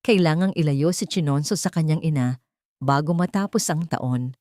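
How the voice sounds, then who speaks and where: A woman reading a scripted dramatic story in a measured, solemn, suspenseful way. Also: sits low, a little mellow, no echo, clean studio sound. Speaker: narrator of audio drama stories.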